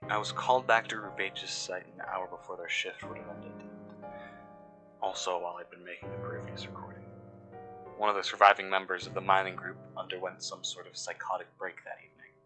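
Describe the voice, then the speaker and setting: A man acting as an uneasy, cynical excavation worker, recording a fictional audio log in measured, brooding, scripted narration with pauses mid-sentence. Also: deep voice, little pitch movement, music in the background, natural voice. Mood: wary, somber. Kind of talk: scripted first-person mystery narration.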